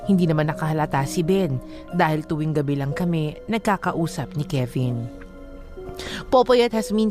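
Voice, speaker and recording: Woman, Filipino accent, low pitch, radio DJ delivery, music in the background. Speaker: radio DJ and co-host. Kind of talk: radio banter and dramatic letter reading. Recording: music bed under the voice.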